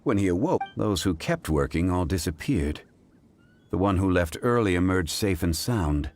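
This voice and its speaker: Deep, composed scholarly gentleman. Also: very animated. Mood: methodical. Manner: formal, deliberate, precise. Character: composed, scholarly gentleman consultant, measured and formal. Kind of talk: English video game voice acting.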